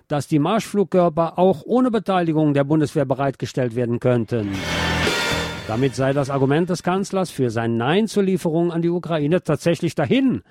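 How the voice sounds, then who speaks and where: A man's voice: mid-range voice, mellow, no echo, clean studio sound. A man hosting a news show, reading press articles aloud and adding sardonic, emphatic commentary. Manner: sardonic asides, emphatic repetition.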